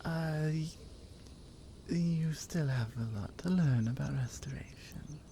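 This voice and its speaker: A woman voicing a confident, teasing strong Nordic warrior healer in a soft ASMR roleplay. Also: low voice, slow pace, gravelly, slight background noise, voice pushed down, full character voice. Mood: gentle, assured.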